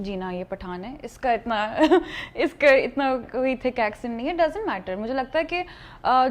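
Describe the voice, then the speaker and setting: Pakistani woman, higher-pitched voice, actress in a relaxed group interview. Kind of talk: casual interview chat in a group.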